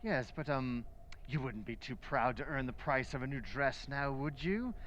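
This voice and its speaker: A man's voice: medium pitch, normal speed, a bit bright, a little hiss or hum, lightly acted. A man playing a pushy, wheedling stranger who trades in racing tips, in the style of old-time radio acting. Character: smooth-talking, pushy male stranger, a bribing racing tout.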